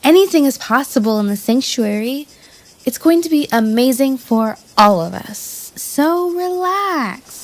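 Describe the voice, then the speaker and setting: A woman playing a gentle, cautious, bookish runaway poet in an apocalyptic western audio drama, soothing and hesitant. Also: high-pitched, lively intonation, unhurried, full character voice, poor audio. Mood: hopeful, dreamy. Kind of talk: live-streamed audio drama acting.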